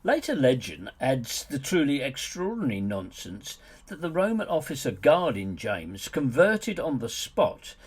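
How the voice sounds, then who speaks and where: A husky-voiced man reads a polemical audiobook at an unhurried pace. His delivery is deliberate and theatrical, with a pitch that swings widely as he leans on words with dry mockery. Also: big pitch swings. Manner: sardonic, mocking emphasis. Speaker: author reading own book as narrator. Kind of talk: scripted polemical narration, audiobook.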